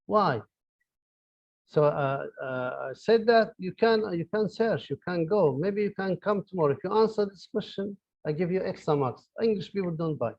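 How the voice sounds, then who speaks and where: A man's voice: high voice, lively intonation, moderate pace. A middle-aged man with an Arabic accent giving a university lecture, hesitant, with many fillers and repeated words. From Libya. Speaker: university professor. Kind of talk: academic lecture. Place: online lecture. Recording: dull lo-fi audio.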